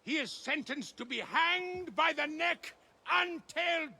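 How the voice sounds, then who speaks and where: An actor playing a pompous, stern official who declaims public proclamations in a medieval video game drama. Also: male voice, very high voice, lively intonation, takes it slowly, creaky, sharp tone, exaggerated voice. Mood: proclaiming, contemptuous. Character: pompous, stern male official presiding over a hanging.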